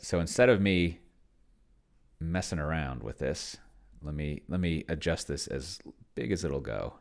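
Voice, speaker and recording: A man's voice: very deep voice, gravelly. A man giving casual think-aloud commentary on a solo tabletop game, rambling and musing to himself as he goes. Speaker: solo tabletop role-player and host.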